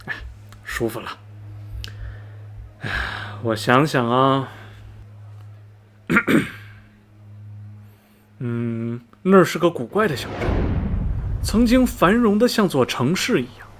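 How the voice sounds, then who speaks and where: Man acting animated, gossipy railway station worker. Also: medium pitch, takes it slowly, long pauses. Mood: gossipy storytelling. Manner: folksy, rambling, full of sighs and interjections. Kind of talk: Mandarin radio drama dialogue, storytelling. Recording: music behind the voice. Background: background music.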